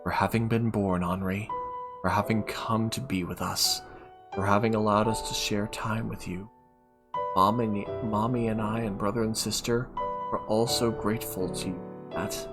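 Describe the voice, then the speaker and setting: A man voicing a gentle male caregiver who speaks quietly and tenderly. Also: on the low side, fairly even intonation, moderate pace, music in the background, lightly acted. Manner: quiet, soft-spoken.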